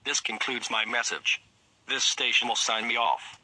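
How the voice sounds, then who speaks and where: A man's voice: medium pitch, fairly even intonation, normal speed, pushed and bright, poor audio. A man playing a grave head of state giving a formal, scripted emergency address about disaster. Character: grave male head of state announcing doomsday.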